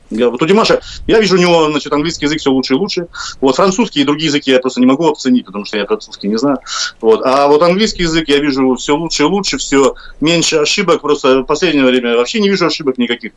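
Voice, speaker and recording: A man from Kazakhstan with a Russian accent, a musician guest chatting on a live-stream interview over a video call, rambling with jokey asides. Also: mid-range voice. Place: home, abroad on a video call.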